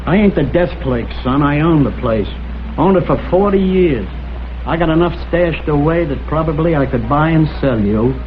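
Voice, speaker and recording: An older man with an American accent, playing a crusty, proud, wry old hotel owner in a vintage crime drama, speaking in a blunt, folksy, deadpan way. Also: on the high side, moderate pace, close to an everyday voice. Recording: tinny vintage broadcast, faint noise.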